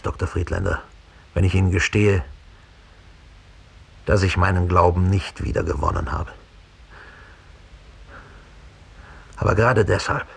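An actor playing a proud, cold former Nazi killer in a 1960s German radio drama, confessing with deliberate disdain in a gravelly, husky voice. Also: male voice, low voice, fairly bright tone, heavily acted. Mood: hateful confession.

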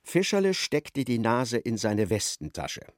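An actor narrating a darkly comic literary radio play as an observant storyteller. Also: male voice, medium pitch, big pitch swings, unhurried, dry recording, clean studio sound. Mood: wry narration.

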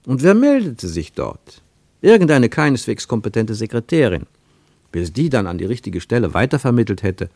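Guy narrating a radio play, lowish voice, animated literary reading, dry studio sound. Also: normal speed, dry recording.

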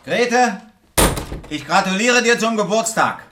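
Cheerful clown hero, bouncy varied intonation, playful, theatrical, fairy-tale radio drama. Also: male voice, sits high, lively intonation, takes it slowly, noisy background. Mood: proud, cheerful. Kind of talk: radio play acting for a children's fairy tale.

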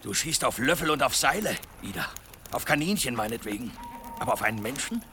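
An actor playing a blunt, doubting village blacksmith in a German radio drama, challenging in manner. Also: male voice, medium pitch, very animated, fairly quick, sharp tone, slight background noise. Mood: sceptical. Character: sceptical village blacksmith, blunt grown man.

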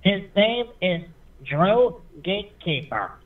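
A nasal-voiced man calls in to a show, his voice fairly high and coming through a tinny, distant phone line. He stumbles over his opening words before getting his point out. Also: very animated, slow pace. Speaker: caller.

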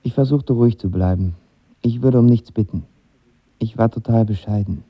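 Radio drama lead: a confessional, lovestruck twenty-year-old, insecure and intense, slightly muffled sound. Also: male voice, fairly low pitch, normal speed, breathy edge. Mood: wistful, confessional. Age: young adult. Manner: earnest, confessional. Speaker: lead actor and narrator. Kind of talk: radio drama, intimate narration and dialogue.

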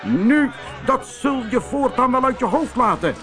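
A man's voice: extremely high pitch, animated, a little slow, fairly bright tone, soft background music, voice pushed up, heavily acted. A man voicing an exuberant, hot-headed toad who blusters and shrieks in a children's storybook. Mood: indignant, gloating.

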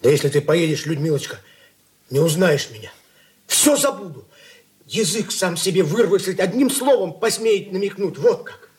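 An actor playing a boastful, wheedling young merchant husband with a glib, clowning manner in a stage-style radio drama. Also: male voice, high voice, lively intonation, normal speed, a little mellow.

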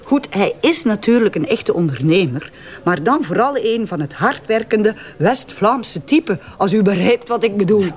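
Flemish female tabloid journalist, played by an actress, medium pitch, shrewd, confident, pointed and teasing delivery, naturalistic audio crime drama. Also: lively intonation, normal speed, natural voice. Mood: knowing.

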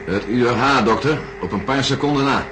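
An actor playing a calm scientist running a bomb launch in a radio play, speaking in a brisk, businesslike way. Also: male voice, mid-range voice, noisy background, natural voice.

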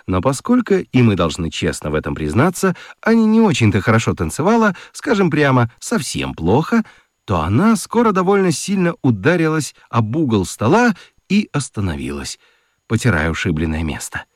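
A man narrating a children's radio story in an expressive, theatrical, confiding way with playful gravity and dramatic pauses. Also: mid-range voice, no echo, studio quality. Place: studio. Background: music.

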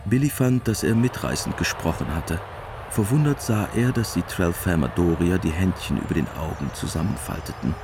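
A man's voice: low-pitched, expressive, moderate pace. A male actor playing a sober storyteller, giving scripted narration in a radio drama. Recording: clear background noise.

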